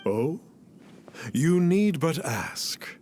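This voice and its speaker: An actor playing a pompous, cryptic immortal sage in a video game, with formal, archaic phrasing. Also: male voice, a little high, sing-song, very slow, a little mellow, a little hiss or hum, full character voice. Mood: courteous, lofty. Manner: formal, archaic, grandiloquent speech. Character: masked, cryptic, pompous self-styled immortal adeptus. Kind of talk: video game character acting.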